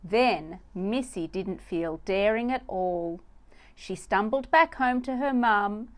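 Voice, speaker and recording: A woman's voice: mid-range voice, lively intonation, takes it slowly. A woman narrating a children's storybook as a read-aloud storyteller.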